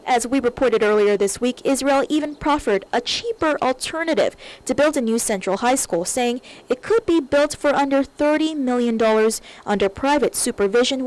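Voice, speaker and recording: High-pitched woman reporting the news. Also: a little mellow, low-quality recording. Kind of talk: scripted news report narration.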